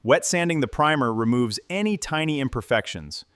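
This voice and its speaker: A man's voice: mid-range voice, lively intonation, normal speed, a bit bright, no echo. A man giving scripted narration as the narrator of a restoration video.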